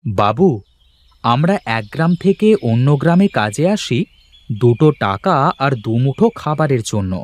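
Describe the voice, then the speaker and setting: A man's voice: mid-range voice, very animated, measured pace. A man voicing a stubborn, plain-spoken farm labourer who respectfully protests to his employer in a cartoon folk tale. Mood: reproachful.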